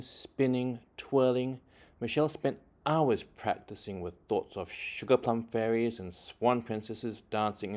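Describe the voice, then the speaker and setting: A man reading a children's story aloud expressively, with deliberate phrasing and dramatic emphasis. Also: medium pitch, a little mellow. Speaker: children's book reader.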